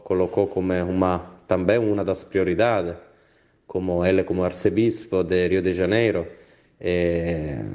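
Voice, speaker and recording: A non-native man speaking hesitantly with many pauses, in the role of postulator of a canonization cause. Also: sits low, darkish tone, smooth. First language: not Portuguese.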